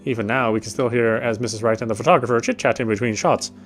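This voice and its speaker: A male let's player with a medium-pitched voice reads game narration aloud. He comments casually and sometimes stumbles on words, with light music in the background. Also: steady tone, fast talker.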